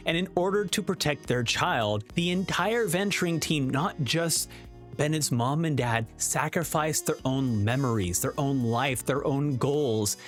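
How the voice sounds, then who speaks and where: A man giving enthusiastic video game review commentary in an effusive, emphatic manner. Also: mid-range voice.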